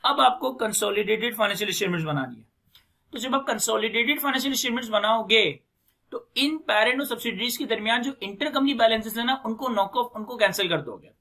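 A man's voice: extremely high pitch, fast talker, gravelly, sharp tone. A man in his thirties with a Pakistani accent lecturing on accounting, methodically repeating key phrases and mixing in English finance terms. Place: indoors, recorded screen lecture at a desk.

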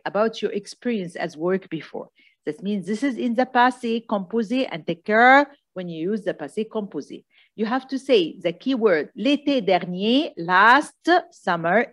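Female language tutor, mid-pitched, slow and didactic, repeats for clarity. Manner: didactic, repeats key phrases slowly, checks understanding often. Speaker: French teacher. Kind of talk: exam coaching lesson, switching between two languages.

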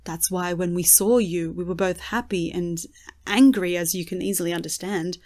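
A woman's voice: fairly low pitch, fairly even intonation, normal speed, a little mellow, close to an everyday voice. A woman acting as a solemn funfair fortune-teller in an audio drama, speaking in an earnest, dramatic storytelling manner. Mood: sorrowful, reproachful.

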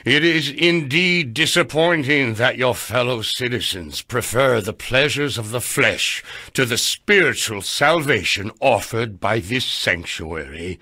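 An actor playing a stern, righteous old gunslinger turned preacher in a video game, speaking in solemn, declamatory biblical phrases. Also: male voice, medium pitch, lively intonation, a little slow, hardly any pauses, bright, forceful tone, dry recording, clean studio sound. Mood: stern preaching. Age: older.

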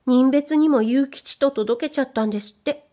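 A woman voicing a shy young maidservant who speaks in a soft, bashful whisper. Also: high-pitched, normal speed, pitched above the performer's own voice, close to an everyday voice.

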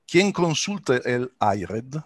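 An older man with an Italian accent, a native Italian speaker, giving a formal prepared speech as a psychoanalyst, measured and careful with hesitant fillers, on a headset mic over a video call from a home study. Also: mid-range voice, sing-song, no room sound.

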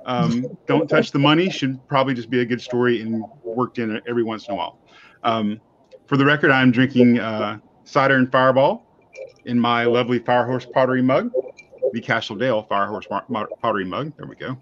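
A man's voice: medium pitch, fairly bright tone. A man hosting a panel show from home over a video call, chatty, rambling and self-deprecating. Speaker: host. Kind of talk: casual chat. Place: home room. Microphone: webcam on video call. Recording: slightly muffled video call audio.

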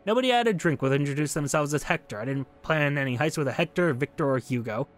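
A man's voice: fairly high pitch, expressive, fast, natural voice. A proud old locksmith, acted out by a man reading a game aloud, stands accused and answers back. He is indignant and boastful, with light music behind him. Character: proud old master locksmith on trial, defiant and grumbling.

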